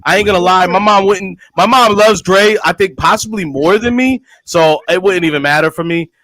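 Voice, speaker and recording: A man's voice: high voice, moderate pace, fairly bright tone, no room sound. A man hosting a casual podcast chat, laid-back and joking, with lots of restarts. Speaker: podcast host.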